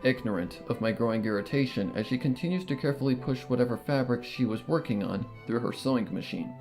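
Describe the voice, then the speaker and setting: A reader narrating a fan story in the first person, with a measured, earnest delivery. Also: mid-range voice, darkish tone, faint music behind.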